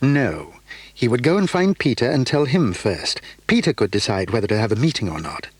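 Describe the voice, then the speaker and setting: A man's voice: mid-range voice, big pitch swings, moderate pace, husky, no echo. A man reading scripted narration for a children's story as the narrator.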